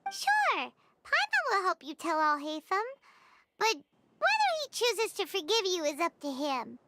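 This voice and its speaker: Squeaky tiny game companion. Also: female voice, huge pitch swings, unhurried, heavy background noise, exaggerated voice. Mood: chirpy. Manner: bossy, indignant, high and squeaky. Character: tiny floating female companion, chirpy and pushy. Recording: game music behind voice.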